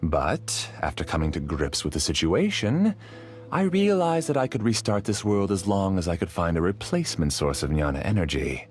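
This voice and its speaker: A game villain-scholar with a low voice and lively, swinging intonation over faint music. He lectures with articulate, theatrical self-assurance, coolly justifying his obsession. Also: moderate pace. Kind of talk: video game dialogue acting.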